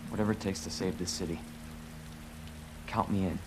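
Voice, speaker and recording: An actor playing an earnest young male rookie cop, steady and earnest with brief firm calls. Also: deep voice, moderate pace, background noise, natural voice.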